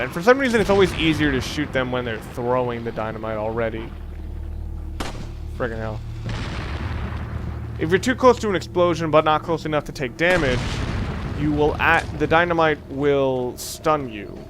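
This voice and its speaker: A man hosting a let's play, commentating casually on a video game in a rambling, halting way with dry joking asides. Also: a little high, animated, background noise. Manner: rambling, halting self-corrections. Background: video game music.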